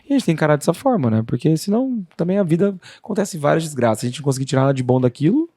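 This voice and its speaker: A man co-hosts a podcast, reflecting at a quick clip. His voice sounds close and dry, with no room around it. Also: on the high side, very animated, dark tone, no echo. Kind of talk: casual podcast chat.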